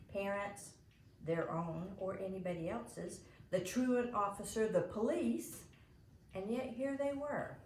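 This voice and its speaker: A woman reading a children's story aloud as an expressive storyteller, in a home recording. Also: mid-range voice. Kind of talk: expressive children's audiobook narration. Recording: roomy home recording, faint background noise.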